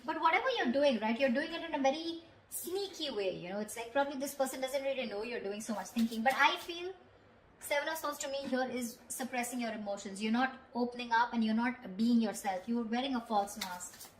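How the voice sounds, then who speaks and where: A woman's voice: on the high side, a little fast. A woman giving an improvised tarot reading, talking directly to the listener in a conversational way and often checking in. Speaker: tarot reader. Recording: echoey room with faint background noise.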